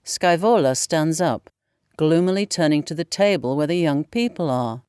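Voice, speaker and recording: A woman's voice: low voice, lively intonation, moderate pace, a little mellow, clean tone, no room sound, clean studio sound. A woman reading stage directions as the narrator of an audio play.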